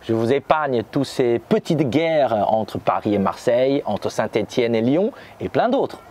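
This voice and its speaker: A man from Shanghai, a native Chinese speaker, narrating a scripted lesson and saying Chinese terms slowly and carefully. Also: mid-range voice, very animated, darkish tone. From Shanghai, China. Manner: reads French lines, then says Chinese terms slowly. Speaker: language teacher.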